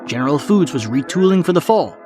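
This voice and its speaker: A man's voice: fairly high pitch, sing-song, fairly quick. Male documentary narrator over music. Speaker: podcast narrator.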